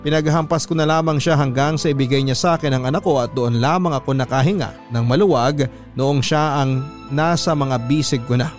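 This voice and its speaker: A man's voice: fairly high pitch, brisk. Man narrating a story over music. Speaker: narrator reading a listener's letter. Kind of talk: scripted first-person story narration for radio drama. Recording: music under the voice.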